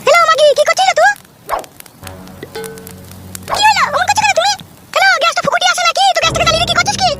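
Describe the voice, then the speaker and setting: Squeaky quarrelsome cartoon wife, extremely high pitch, rapid-fire, combative, taunting. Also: female voice, music in the background. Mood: scolding, combative. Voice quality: squeaky, shrill. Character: shrill, foul-mouthed quarrelling cartoon wife.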